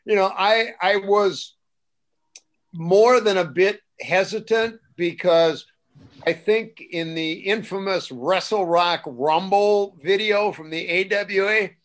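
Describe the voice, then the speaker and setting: A man co-hosting a casual talk show, telling stories in an animated way and repeating words when excited. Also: high voice, slow. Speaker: co-host, former wrestling interviewer. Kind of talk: casual podcast chat.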